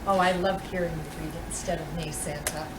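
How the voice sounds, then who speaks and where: A woman's voice: deep voice, fairly even intonation, brisk, natural voice. A woman playing Santa's kindly older wife, chatting at a children's storytime in a gushing, appreciative manner. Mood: delighted. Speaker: storytime co-host. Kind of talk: live children's storytime chat. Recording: echoey room, distant mic, clear noise.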